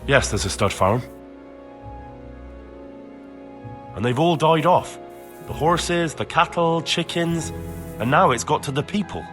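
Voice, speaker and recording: A man's voice: a little high, expressive, normal speed, a bit bright, faint music behind. An actor playing a plain-spoken, helpful young man of the common folk in a medieval video game, talking earnestly. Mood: sober. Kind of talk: video game cutscene acting.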